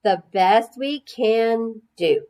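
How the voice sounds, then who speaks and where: A woman reading a storybook as an upbeat, theatrical schoolteacher who addresses her class in a slow, drawn-out, emphatic way. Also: on the high side, a little mellow, voice pushed up. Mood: coaxing.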